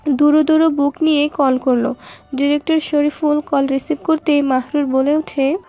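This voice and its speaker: A woman's voice: high-pitched, level tone, moderate pace, dull audio. A woman reading scripted story narration.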